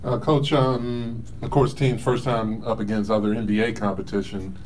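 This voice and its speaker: Halting male reporter heard from a distance. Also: lowish voice, flat delivery, darkish tone. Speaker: sports reporter. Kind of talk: press conference question. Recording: distant mic with faint room noise.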